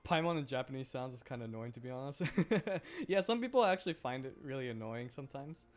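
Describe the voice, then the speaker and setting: A man's voice: medium pitch, animated, a little fast. A man giving casual, chatty, giggly livestream commentary while playing a video game. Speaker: VTuber game streamer. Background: game music.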